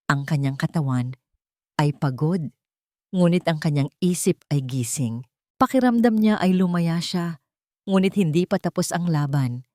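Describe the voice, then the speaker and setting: A woman's voice: low voice, mellow, no room sound, studio quality. A woman reading a scripted dramatic story as a storyteller.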